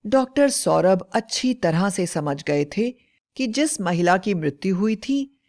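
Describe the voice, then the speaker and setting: A woman's voice: mid-range voice, animated, a little slow, a little mellow. A woman narrating a scripted children's moral story as a storyteller.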